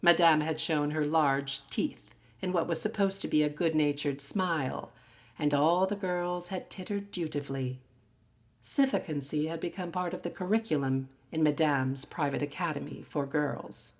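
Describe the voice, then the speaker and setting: A woman narrating a literary short story in an expressive, dramatic storytelling manner. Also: deep voice, normal speed. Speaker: story reader.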